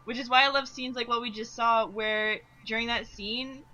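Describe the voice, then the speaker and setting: A woman's voice: fairly high pitch, steady tone, moderate pace, sharp tone, dull audio. A woman giving casual commentary as a fandub sound editor among her group.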